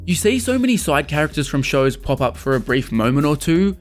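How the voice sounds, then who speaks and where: A man's voice: a little high, big pitch swings, a little fast, faint music behind. A young adult man narrating a scripted video essay as a game reviewer and host.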